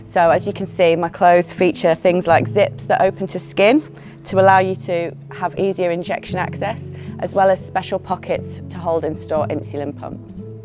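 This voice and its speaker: A woman, a fashion designer, explains her work in a clear, lowish voice. Music runs underneath. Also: fairly even intonation, moderate pace, a little mellow. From Manchester, England.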